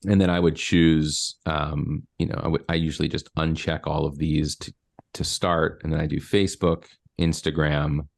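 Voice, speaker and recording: Low-voiced man in his forties coaching. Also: flat delivery, moderate pace, creaky. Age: 40s. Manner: explanatory, step-by-step, with many fillers. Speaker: marketing coach. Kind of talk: screen-share coaching session.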